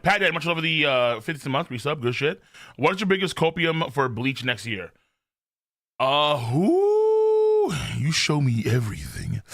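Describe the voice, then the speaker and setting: A man hosting a livestream, chatting casually in a loud, animated, rambling way with lots of swearing and a slightly strained throat. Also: mid-range voice. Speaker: live streamer, host. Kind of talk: casual livestream chat, reading viewer messages.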